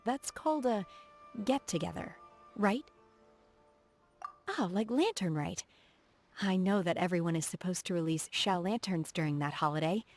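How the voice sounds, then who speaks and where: A reserved young warrior disciple from a fantasy game, with a fairly low voice. She speaks formally and carefully, earnest and a little socially awkward. Music plays clearly behind her. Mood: wistful. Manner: formal, measured, softly earnest. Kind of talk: video game character voice acting. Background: background music.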